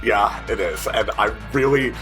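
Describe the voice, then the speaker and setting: A man playing a tabletop game, chatting casually and thinking aloud in halting, repeated phrases with casual swearing. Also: fairly low pitch, a bit bright. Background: faint music.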